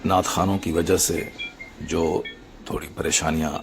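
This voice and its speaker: Very gravelly, low-voiced man. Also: level tone, noisy background. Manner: formal, measured, halting in short phrases. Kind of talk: explanatory public statement.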